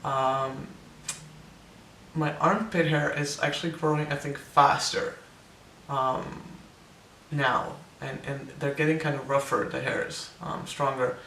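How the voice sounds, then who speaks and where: Gravelly young man, recorded from across a room. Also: medium pitch, flat delivery, slow, bright, forceful tone, reverberant, distant mic, slight background noise, rough recording. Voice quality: voice cracks, air breaking through on some notes. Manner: hesitant, many ums and restarts. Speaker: vlogger. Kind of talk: casual personal update to camera.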